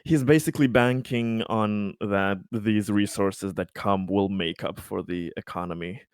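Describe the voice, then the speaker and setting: A man playing a tabletop roleplaying game, talking partly in character in a hesitant, halting way. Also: on the low side, clear, resonant tone, dry recording, studio quality. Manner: hesitant, halting, repeats himself.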